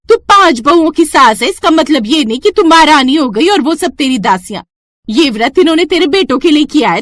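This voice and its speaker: A woman voicing an outspoken middle-aged neighbor woman who lectures and scolds in a shrill, high voice. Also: a bit bright, no echo.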